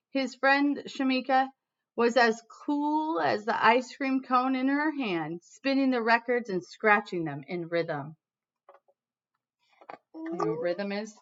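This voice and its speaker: An American woman teacher reading a storybook expressively to students, pausing to explain words. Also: on the high side, a bit bright. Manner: pauses to explain words to children. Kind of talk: expressive read-aloud with explanatory asides. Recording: dull, lo-fi sound.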